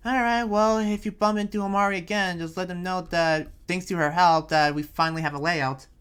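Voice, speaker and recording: A man playing an earnest, preachy but friendly town leader in an anime roleplay, speaking in a halting, informal way. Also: sits high, steady tone, fairly bright tone, clean tone. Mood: apologetic, friendly. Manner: halting, rambling, informal advice-giving. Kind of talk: improvised Minecraft anime roleplay. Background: music under the voice.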